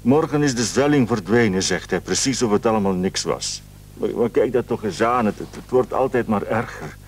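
A man's voice: lowish voice, moderate pace, natural voice. An actor playing an anxious, ailing husband in a radio drama, stammering and hesitant as he frets. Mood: distressed. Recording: noisy old radio play recording.

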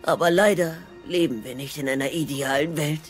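A man's voice: fairly high pitch, brisk, faint music behind, natural voice. A man playing a bookish, anxious teenage Viking boy, pedantic and precise, in a cartoon audio drama. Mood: earnest explaining. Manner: precise, nerdy, reciting.

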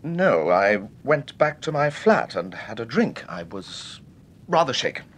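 An actor playing a shaken, insistent witness in a British radio serial, earnest and halting, with Received Pronunciation. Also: male voice, mid-range voice, sing-song. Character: shaken, insistent well-bred male witness under questioning.

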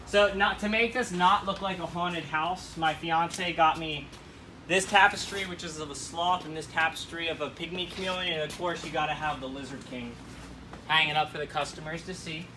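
A man's voice: a little high, steady tone, normal speed, background noise. A young man giving a casual walkthrough tour of his plant shop's warehouse and grow room, upbeat and rambling with frequent ums, filmed on a handheld camera. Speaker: plant shop owner.

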